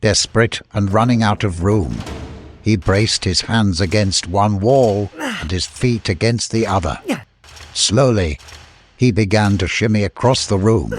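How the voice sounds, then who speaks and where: A man reading a dramatic scripted adventure story as an audiobook narrator, with faint background noise. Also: lowish voice.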